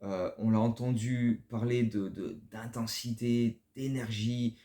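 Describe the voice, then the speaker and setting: A man's voice: medium pitch, fairly even intonation, slow, dark tone, roomy sound. A man hosting a basketball fan channel, giving casual spoken commentary that rambles and often stumbles over repeated words.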